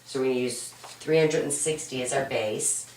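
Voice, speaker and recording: A woman teaching an accounting homework walkthrough, hoarse and raspy from a cold, patient and step-by-step, often checking in with "right?". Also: extremely low pitch, moderate pace, a little mellow, a little hiss or hum. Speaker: accounting instructor. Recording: roomy echo, mic at a distance.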